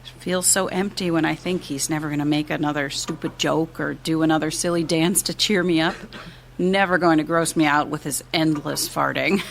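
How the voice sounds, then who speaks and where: An actress playing a grieving widow in a fiction podcast, candid and tender, in a halting confessional manner. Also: female voice, low-pitched, steady tone, vocal fry, slight background noise. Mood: tender grief. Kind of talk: naturalistic fiction podcast acting.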